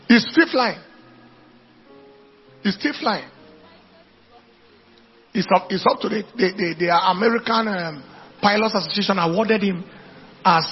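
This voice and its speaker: High-pitched, gravelly Nigerian male preacher. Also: muffled recording. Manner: emphatic repetition, declaiming to congregation. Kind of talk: animated sermon with anecdotes.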